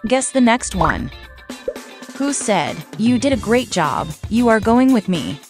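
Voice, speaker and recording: Lady quiz host, scripted, animated, music behind her. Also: on the high side, unhurried.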